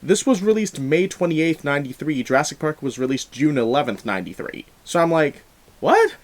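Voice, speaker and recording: A man hosting a film review show, talking in a casual, animated and emphatic conversational way. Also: fairly high pitch, fairly quick.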